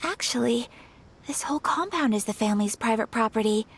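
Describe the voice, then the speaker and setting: An actress playing a gentle, polite young noblewoman in an anime-style video game, courteous and a little hesitant. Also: on the high side, animated, a little fast, slightly rough. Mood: polite, earnest. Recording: faint game music behind.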